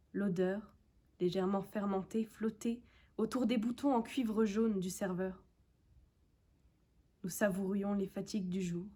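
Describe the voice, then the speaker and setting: Slow, measured young woman reciting poetry. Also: mid-range voice, a little mellow. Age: young adult. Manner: measured, deliberately phrased. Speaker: conservatory student reading poetry.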